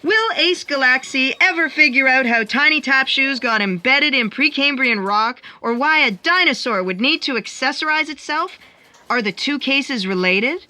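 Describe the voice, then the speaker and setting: Bright, sharp, bossy editor-in-chief. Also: female voice, sits high, expressive, moderate pace, heavily acted. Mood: dramatic teasing. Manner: imperious, curt, impatient. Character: bossy, exasperated female editor-in-chief of destiny broadcast. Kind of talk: comic audio theatre acting.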